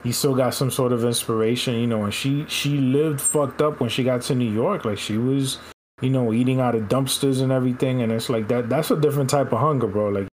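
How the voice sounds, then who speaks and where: A man's voice: mid-range voice, brisk, a little mellow, slight background noise, poor audio. A man co-hosting a podcast in loose, profane, slangy casual chat. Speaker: podcast co-host.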